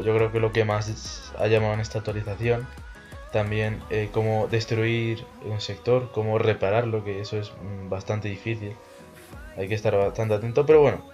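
Casting: young male tutorial host, Spain accent, lowish voice, casual delivery, music underneath. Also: a little mellow. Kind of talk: casual video game tutorial. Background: background music.

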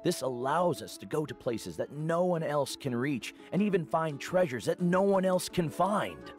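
An actor playing a kindly middle-aged uncle and veteran guide in a video game, measured and earnest. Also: male voice, a little high, sing-song, soft background music. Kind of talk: video game character acting.

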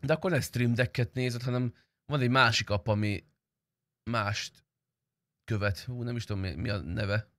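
A man's voice: mid-range voice, fairly bright tone, no echo. A young adult man chatting casually and slangily on a game livestream, close to the microphone. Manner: informal, slangy, swearing. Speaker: game streamer.

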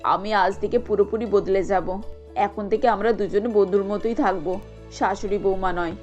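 A woman's voice: mid-range voice, steady tone, normal speed, darkish tone, muffled recording, faint music behind, voice pushed down, close to an everyday voice. A woman voicing a bossy but fond middle-aged mother-in-law in a cartoon, blunt and matronly. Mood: resolved. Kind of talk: Bengali cartoon family drama acting.

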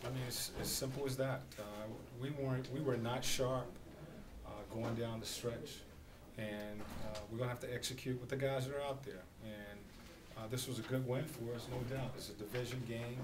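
A middle-aged man, a basketball head coach, answering questions at a postgame press conference in a measured, halting way with many fillers. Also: medium pitch, fairly even intonation, a crowd in the background. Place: small press room at a podium. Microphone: podium microphone on a stand. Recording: distant camera audio, noisy room.

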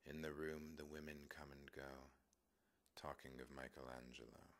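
A man reading a poem aloud in a measured, expressive dramatic recitation, with phrases that often trail off quietly. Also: very deep voice. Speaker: poetry reader.

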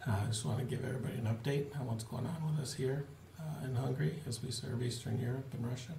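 A man in his forties giving a relaxed, plain-spoken video update to supporters from a small home office, with frequent fillers. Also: mid-range voice, fast talker, creaky, slight background noise. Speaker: missionary giving a family update. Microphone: webcam at desk. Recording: roomy webcam audio with faint ambience.

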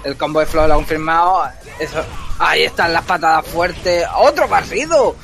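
A man doing fast, excitable play-by-play commentary on a fighting game, joking and shouting at big moments. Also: fairly high pitch, creaky, soft background music. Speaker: esports commentator.

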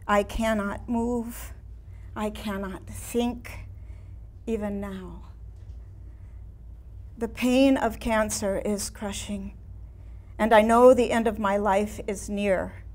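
Woman playing a slow, melancholy elderly painter. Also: mid-range voice, a little mellow. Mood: weary, anguished. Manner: theatrical, measured, with long pauses. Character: ailing elderly woman painter, melancholy, reflective, looking back. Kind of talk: staged play reading, dramatic monologue. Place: small indoor room before a seated audience.

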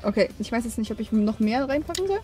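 Young female co-host, lively and curious, high voice over clear music. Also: fast. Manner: curious, asks questions, reacts. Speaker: vlog co-host. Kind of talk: casual food-testing chat. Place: car, then home kitchen.

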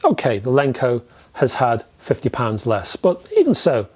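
British male reviewer, fifties, husky edge, very lively intonation, chatty and emphatic. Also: medium pitch, normal speed. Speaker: host, hi-fi reviewer. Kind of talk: presenting a product review to camera. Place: small indoor room with record shelves.

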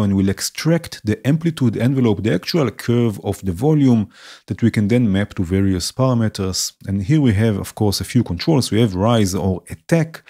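A man calmly walking through a music production tutorial, filling pauses with "right" and "uh". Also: on the low side. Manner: calm, explanatory, frequent "right" and "uh" fillers. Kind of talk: screen-recorded software tutorial.

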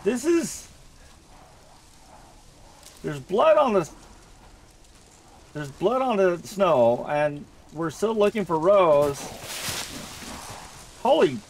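A man's voice: sits high, big pitch swings, drawn-out words, pauses often, slight background noise. A man giving casual Let's Play commentary on a horror game, rambling and thinking aloud as he plays, often repeating himself. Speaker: gamer narrating a horror game playthrough.

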